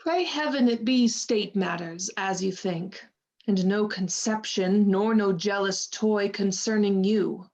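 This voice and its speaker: A woman playing a worldly, cynical waiting-woman in a Shakespearean radio play, measured and knowing. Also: medium pitch, darkish tone. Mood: grave, knowing.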